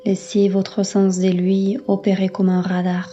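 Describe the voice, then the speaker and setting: A woman yoga instructor leading a guided relaxation in a calm, soothing, unhurried manner with long pauses. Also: medium pitch, dull audio, faint music behind. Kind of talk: guided meditation, yoga nidra.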